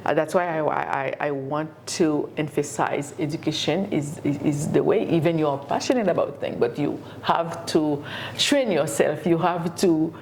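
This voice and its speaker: A middle-aged woman from Haiti, an education professor, answering interview questions in a halting way with many fillers and repeated words. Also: deep voice, a little mellow, slight background noise. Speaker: education professor and community leader.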